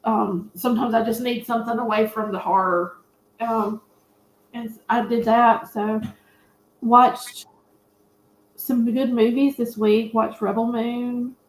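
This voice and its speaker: A woman's voice: on the high side, steady tone, slow. A woman chatting casually and emphatically about films and series as an opinionated panelist. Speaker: panelist on a movie review show. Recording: roomy, echoey sound.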